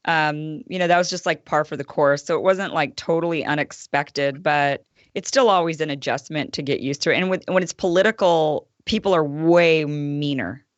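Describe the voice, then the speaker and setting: A woman guest and show host talking in a relaxed, chatty way with many fillers and self-corrections; she has a fairly deep voice. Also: moderate pace, dry recording, highs cut off. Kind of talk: casual chat.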